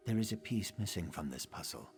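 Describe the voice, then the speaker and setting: Deep, brooding assassin. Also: male voice, animated, faint music behind. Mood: brooding, intent. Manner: deliberate, grave, articulate. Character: brooding, deep-voiced male assassin investigating a betrayal. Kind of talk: dramatic video game acting.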